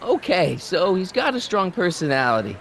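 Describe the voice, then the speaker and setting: A man's voice: high voice, very animated, normal speed, fairly bright tone, exaggerated voice. An actor playing an earnest, well-meaning American teenage boy in a video game, casual, hesitant and pleading. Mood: reassuring.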